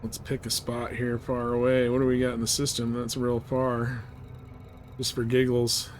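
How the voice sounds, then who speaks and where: A man's voice: mid-range voice, completely flat delivery, moderate pace, slight background noise. A man livestreaming a video game with casual commentary, rambling a little as he thinks aloud. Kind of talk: casual live gameplay commentary.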